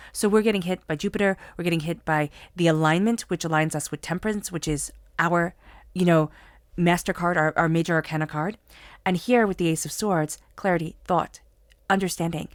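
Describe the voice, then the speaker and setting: Low-voiced woman with a cold. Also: no room sound, studio quality. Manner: soothing guided meditation, then earnest spiritual pep talk. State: has a cold. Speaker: tarot reader. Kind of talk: solo tarot reading to camera.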